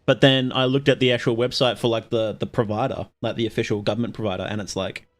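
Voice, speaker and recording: A man hosting a livestream, chatting casually with viewers in a rambling, halting way and often interrupting himself. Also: mid-range voice, steady tone. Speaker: livestream host.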